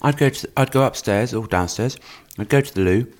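A man's voice: mid-range voice, a little fast. A man co-hosting a podcast in casual chat, giggly and playful with frequent laughs. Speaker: podcast co-host.

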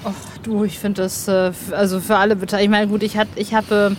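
A chatty woman host talking eagerly and casually at a mid pitch, stumbling into restarts as ideas tumble out. Street and vehicle noise is clearly audible behind her. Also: little pitch movement, brisk. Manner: chatty, enthusiastic, many restarts. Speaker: podcast host. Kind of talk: casual conversation. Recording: background traffic noise.

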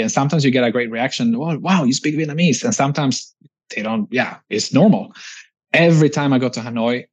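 A man from Sweden in his forties with a Swedish accent, chatting reflectively as an interview guest and often ending thoughts with a quick tag question. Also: medium pitch, highs cut off. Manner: reflective, chatty, frequent restarts and "right?" tags. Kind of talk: casual interview conversation.

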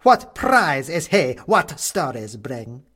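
A man's voice: mid-range voice, big pitch swings, a bit bright, breathy edge, exaggerated voice. A man voicing a grating gleeful goblin king. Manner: slow sing-song rhyming chant.

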